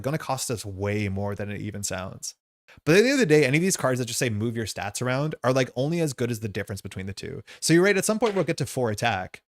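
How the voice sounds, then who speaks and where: Casting: male game streamer, casual and rambling, average pitch, recorded dry with no room sound. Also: no echo. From Canada. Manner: rambling, thinking aloud. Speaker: live streamer playing a card game. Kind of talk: casual live stream commentary.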